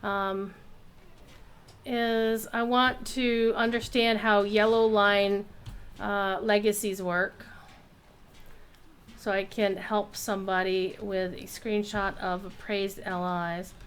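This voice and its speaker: A woman giving casual live commentary while streaming a game, playful and expressive, with some salty language. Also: mid-range voice, takes it slowly, smooth.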